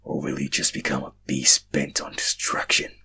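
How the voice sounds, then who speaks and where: A man voicing a big shadowy dream entity in a storybook reading, ominous and cryptic, with a deep, breathy half-whisper and slow, portentous delivery. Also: monotone, sharp tone, full character voice.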